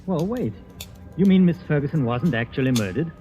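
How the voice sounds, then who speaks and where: An actor playing a dry, sceptical writer, a reluctant and wry guest, in old-time radio horror style. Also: male voice, on the high side, animated, a little fast, mellow, soft background music, natural voice. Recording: muffled vintage radio recording.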